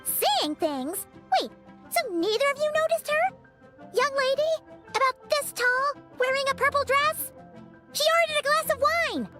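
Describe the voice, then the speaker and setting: A woman voicing a tiny floating female companion sprite, chirpy and excitable, who talks about herself in the third person. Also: extremely high pitch, a little slow, over music. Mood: puzzled. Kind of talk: game voice acting.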